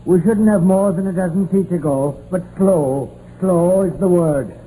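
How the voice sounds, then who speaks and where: An actor playing a steady, cautious coal mine crew boss who gives clipped, practical orders in a 1950s radio drama. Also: male voice, high-pitched, measured pace. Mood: brisk, commanding. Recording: muffled old radio recording with faint noise.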